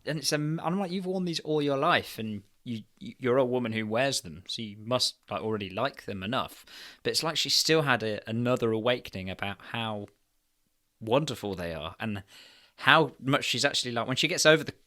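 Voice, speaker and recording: A man's voice: medium pitch, expressive, brisk, pushed and bright. A man co-hosting a podcast in casual, candid chat, frank and profane, rambling and repeating his phrases. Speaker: podcast co-host.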